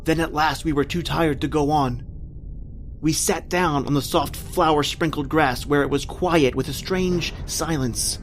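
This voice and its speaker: A man's voice: fairly high pitch, measured pace, soft background music, close to an everyday voice. An actor playing an anguished married man trapped in prehistoric time, telling his horror in melodramatic old-time radio style. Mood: haunted, horrified. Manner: melodramatic, intense, repetitive narration.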